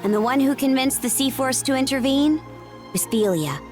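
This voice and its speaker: An actress playing a proud, blunt warrior princess of seafaring pirates in a video game, telling things in a commanding, matter-of-fact way. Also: female voice, sits high, expressive, moderate pace, over music. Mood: stern, explanatory. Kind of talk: dramatic video game voice acting.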